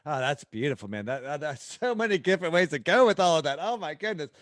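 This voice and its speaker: A man's voice: fairly high pitch, very animated, quick pace, fairly bright tone, no room sound, clean studio sound. A man hosting a podcast, asking questions and reacting with effusive enthusiasm. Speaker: podcast host.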